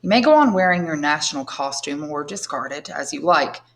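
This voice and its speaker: A woman reading aloud, playing a calm noble man who speaks in a measured, earnest way. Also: low-pitched. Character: calm, noble older man, tender and commanding.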